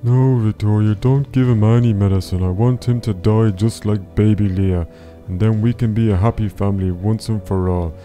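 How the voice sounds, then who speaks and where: Man as dark, low-voiced evil lord. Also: steady tone, moderate pace, close to an everyday voice. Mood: cold, scheming. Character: cold, evil husband and castle lord. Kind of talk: scripted Minecraft roleplay. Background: music.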